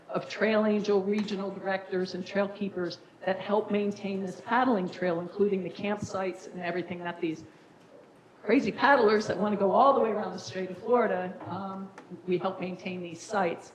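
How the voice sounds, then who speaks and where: A woman with a raspy voice who directs a nonprofit, presenting informally to a city council with frequent fillers. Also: mid-range voice, fairly even intonation, normal speed. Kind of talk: public presentation at a council meeting. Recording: echoey council chamber.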